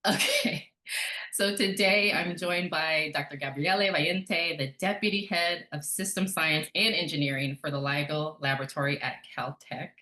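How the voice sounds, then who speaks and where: A woman with a notably low voice hosts a podcast interview. She speaks conversationally and with deliberate, measured phrasing, and the room adds a clear echo around her words. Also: steady tone, pushed and bright. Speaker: podcast host.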